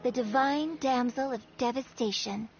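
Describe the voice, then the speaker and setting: A woman voicing a courteous young female opera performer in a video game, with a polite, measured and formal manner. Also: sits high, lo-fi sound, over music, natural voice. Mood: poised, polite.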